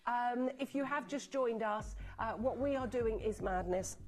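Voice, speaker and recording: A woman presenting live on a TV shopping channel, chatty and excitable, rattling off buyer names and sounding a little sniffly from a cold. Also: fairly high pitch, measured pace. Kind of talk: live on-air sales pitch. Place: TV studio.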